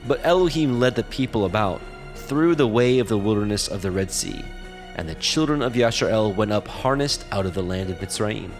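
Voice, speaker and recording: A man narrating scripture from a script in a measured, solemn manner. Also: fairly low pitch, animated, soft background music.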